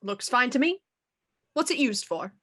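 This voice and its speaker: A shrewd female inspector in a period radio mystery. Her voice is bright and forward, fairly high, and she talks with dry, wry confidence, never flustered. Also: animated, moderate pace. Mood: dry, probing. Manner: dry, wry, self-assured. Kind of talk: audio drama acting, Victorian murder mystery radio program.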